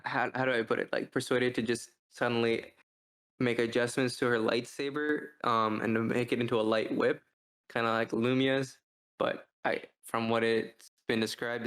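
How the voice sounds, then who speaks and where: A man's voice: medium pitch, fairly bright tone. A man in a casual review discussion, thinking aloud as a co-host and often checking in with "like" and "right". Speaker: podcast co-host.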